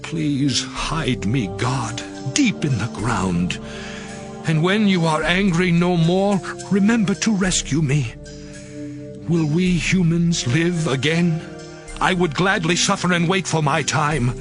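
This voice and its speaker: A man's voice: fairly high pitch, takes it slowly, music in the background. An actor playing an anguished, suffering man who pleads bitterly and emotionally with God in a dramatized scripture production. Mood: anguished pleading.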